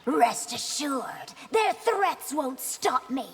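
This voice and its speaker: A resolute game empress with a high voice and some rasp at the edges. Each line is slow, regal and commanding, ringing in a long reverb. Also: female voice, lively intonation, heavily acted. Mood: stern, resolute. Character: proud, resolute empress hiding a feral affliction. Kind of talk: video game character acting. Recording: long hall reverb, music behind.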